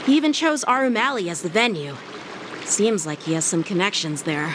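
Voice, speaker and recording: A woman's voice: mid-range voice, big pitch swings, brisk, noisy background, natural voice. A woman voicing a confident, courteous teenage boy sword master in an anime-style game, speaking politely and formally. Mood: calm, formal.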